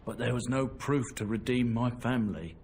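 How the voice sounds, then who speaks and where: A man's voice: medium pitch, normal speed, slight background noise, natural voice. An actor playing an earnest young man seeking redemption for his family, speaking plainly and a little hesitantly in a video game cutscene. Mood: relieved. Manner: plain, humble, hesitant talk. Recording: game audio under loud music score.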